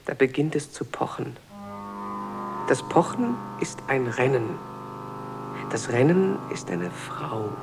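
Sleepy pleading child, radio play, slow, swooping pitch, incantatory phrasing. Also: female voice, lively intonation, music in the background, heavily acted. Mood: haunted. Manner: short incantatory repeated phrases. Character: sleepy child remembering a frightening night, pleading. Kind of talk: poetic radio play recitation.

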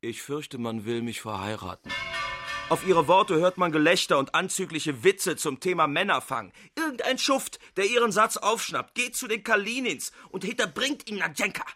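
An actor playing an analytical, reproachful country doctor in a German radio drama, with a formal, pointed, lecturing manner. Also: male voice, high-pitched, expressive, moderate pace, bright, forceful tone, soft background music, exaggerated voice. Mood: indignant reproach. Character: analytical, reproachful male country doctor confronting his friend.

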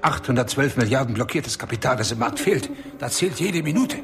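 An actor playing a pushy, hurried male banker in a radio play, talking in a brisk, commanding manner. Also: mid-range voice, animated, close to an everyday voice. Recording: studio radio drama with music.

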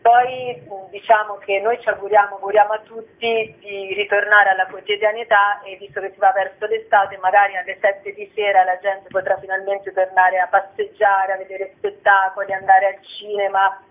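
A woman's voice: medium pitch, level tone, normal speed, few pauses. A woman giving a spontaneous radio interview as one of the organisers of a theatre festival. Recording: narrow tinny phone line on radio.

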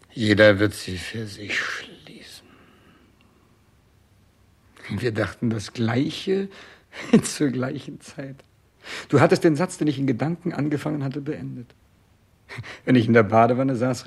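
A man's voice: medium pitch, gravelly, husky. An actor playing a self-absorbed dying king in a 1960s German radio play, wavering theatrically between commands and murmured reverie.

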